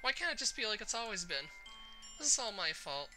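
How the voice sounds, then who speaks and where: A woman's voice: medium pitch, very animated, brisk, over music, pitched above the performer's own voice, close to an everyday voice. A woman voicing a sad, fragile teenage anime girl in a visual novel, hesitant and self-blaming behind a forced smile. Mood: guilty, dejected. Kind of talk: visual novel character voice-acting.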